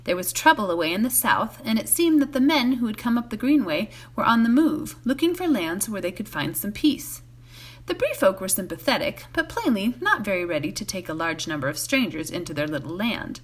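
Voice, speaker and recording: A woman reading a storybook aloud as a volunteer, expressive, occasionally stumbling and correcting herself. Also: mid-range voice, fairly quick, slightly rough. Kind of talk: read-aloud storybook narration.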